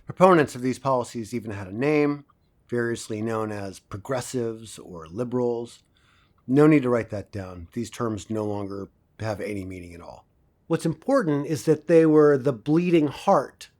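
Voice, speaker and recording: A man performs an assured professor of a dystopian future in an audio drama. His mid-pitched voice lectures in a measured, didactic way, with dry wit in the delivery. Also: a little mellow, close to an everyday voice. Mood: didactic, wry. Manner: didactic, measured, dryly wry.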